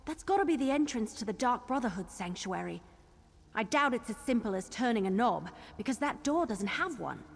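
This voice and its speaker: A woman's voice: on the high side, animated, brisk, creaky, slightly rough, exaggerated voice. A woman voicing a wry, impatient investigator companion in a fantasy video game, sarcastic and teasing. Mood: curious, focused. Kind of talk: video game character dialogue. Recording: long hall reverb, music underneath.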